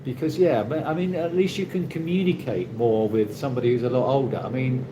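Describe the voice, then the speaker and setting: A British man hosting a casual live chat, reading out viewer comments and answering in a rambling, halting way with many restarts. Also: mid-range voice. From Britain. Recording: noisy ambient background.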